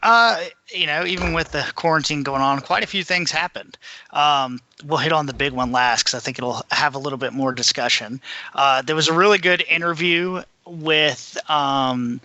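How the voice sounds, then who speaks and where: A man's voice: a little high, moderate pace, pushed and bright, dull audio. A man running through news in a casual, informal way as a podcast panelist. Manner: informal, conversational. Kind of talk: casual news rundown and discussion.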